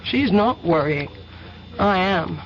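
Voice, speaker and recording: A woman's voice: on the low side, expressive, takes it slowly. An actress playing a gracious, well-to-do young woman with polite, refined speech in an old-time radio drama. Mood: concerned. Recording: dull, hissy old radio recording.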